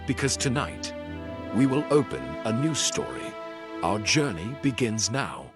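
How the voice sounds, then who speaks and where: Calm guy doing a scripted welcome, lively pitch, music under it. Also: a little slow. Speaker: channel host.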